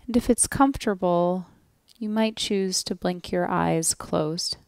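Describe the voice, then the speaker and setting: A woman leading a guided relaxation as a yoga nidra instructor, speaking gently and soothingly with long pauses. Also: fairly low pitch, creaky, no room sound, studio quality.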